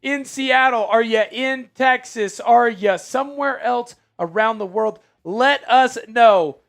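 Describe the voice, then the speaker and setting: A man's voice: very high-pitched, slow pace, fairly bright tone, no echo, clean studio sound. A man co-hosting a live sports stream, talking casually and hyped up while doing jumping jacks. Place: sports media studio.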